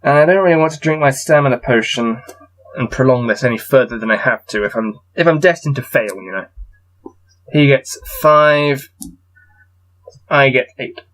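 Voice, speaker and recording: A man reading a gamebook aloud and adding low-key, wry muttered commentary as he plays. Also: normal speed, long pauses, a bit bright, rough recording. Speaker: solo gamebook player and reader.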